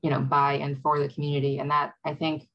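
A young woman from Wisconsin speaking on a video call panel, reflective and hesitant with many pauses. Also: sits low, completely flat delivery, highs cut off. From Wisconsin, USA. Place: home room.

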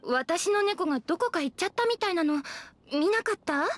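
A woman's voice: very high voice, lively intonation, fairly quick, soft background music. An actress playing a breezy, carefree young townswoman with ladylike casual speech in a Japanese game. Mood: concerned.

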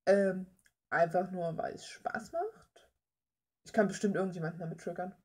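A woman's voice: fairly low pitch, normal speed, darkish tone. A young adult woman rambling casually in an informal, playful, slangy way, like someone showing her hobby on a vlog. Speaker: hobby artist and video maker.

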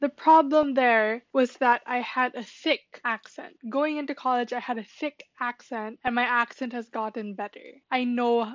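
A woman's voice: on the high side, fairly even intonation, normal speed, few pauses, dull audio. A young woman from the Philippines giving a chatty, upbeat walking tour of a university, laughing often, recorded on a handheld mic in halls and classrooms. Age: young adult. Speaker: vlogger giving a campus tour. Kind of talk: casual walking vlog. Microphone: handheld mic at arm's length. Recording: music playing underneath. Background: background music.

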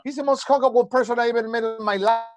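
A man's voice: very high-pitched, steady tone, quick pace. A man chatting casually on a group video call. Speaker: cast member. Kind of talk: casual chat.

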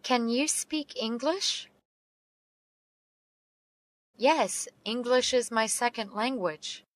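Female, slow deliberate delivery, clear diction, dry studio sound. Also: on the high side, no echo. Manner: slow and carefully enunciated. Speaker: English teacher reading dialogue. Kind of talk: slow read-aloud English practice phrases.

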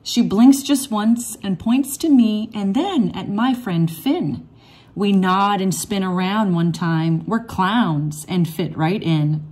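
A woman reading a rhyming children's picture book aloud in an expressive, measured storytelling manner. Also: mid-range voice, breathy edge. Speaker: read-aloud storybook reader.

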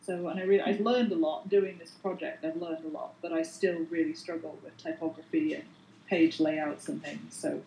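A woman chatting on a panel, friendly and quick to laugh, over an earphone mic at home. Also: deep voice, steady tone, creaky. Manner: warm, chatty, laughs often. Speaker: illustrator panelist. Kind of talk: casual panel chat. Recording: echoey room.

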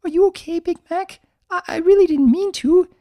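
A man's voice: very high-pitched, normal speed, mellow, voice pushed up. A man voicing a timid, sweet young female pegasus pony, anxious and hesitant, stammering in a storybook reading. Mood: panicked, apologetic.

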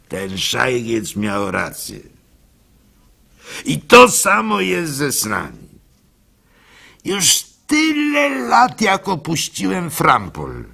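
An actor playing a gentle, weary old wandering simpleton telling his story in a theatrical, drawn-out radio monologue, with a husky, gravelly voice. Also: lowish voice, full character voice. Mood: amused, wistful. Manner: theatrical, slow, widely swinging delivery with drawn-out words. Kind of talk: dramatic first-person monologue, radio play.

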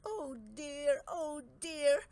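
A woman voicing a meek, miserable male dragon in a storybook, moaning and pleading in drawn-out wails. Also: sits high, sing-song, dark tone, dry recording, pitched above the performer's own voice, exaggerated voice. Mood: wailing distress.

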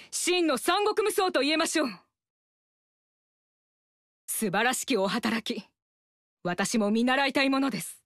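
Proud young game warrior woman; high, slow and commanding, dry studio. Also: no room sound. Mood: respectful. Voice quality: firm, commanding. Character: proud young female warrior, a warlord's daughter. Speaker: game character. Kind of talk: game voice lines.

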